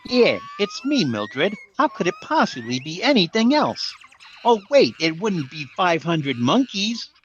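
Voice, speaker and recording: A woman acts out a goofy, cheeky sidekick in a forties radio comedy. The voice is very low and wildly animated, on a dull recording. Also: unhurried, a little hiss or hum. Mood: sarcastic. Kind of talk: 1940s radio comedy acting.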